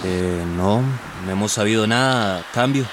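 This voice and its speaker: Un hombre interpretando a un tranquilo operador de turismo de río en una radionovela. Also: male voice, fairly low pitch, measured pace, lightly acted. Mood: relaxed, unworried. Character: easygoing male rural river tourism operator. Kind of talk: radio drama dialogue. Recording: noisy outdoor ambience behind voice.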